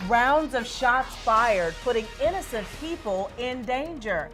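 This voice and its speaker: A woman's voice: a little high, expressive, a little slow, fairly bright tone, soft background music. An American woman reading scripted news as a television anchor. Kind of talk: scripted broadcast newsreading.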